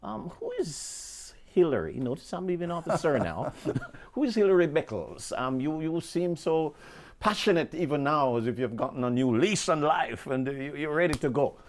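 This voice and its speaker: A man interviewing a guest on television, playful and affirming, prompting him warmly with questions. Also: fairly high pitch, sing-song, normal speed, few pauses. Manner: playful, affirming, laughs often.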